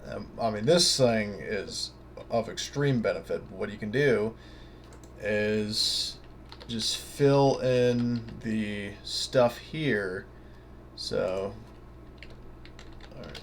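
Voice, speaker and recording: A man's voice: lowish voice, very slow, pauses often. A man giving an informal, off-the-cuff tabletop game tutorial, rambling casually and thinking aloud over a noisy recording. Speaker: tabletop game guide presenter. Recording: noticeable background noise.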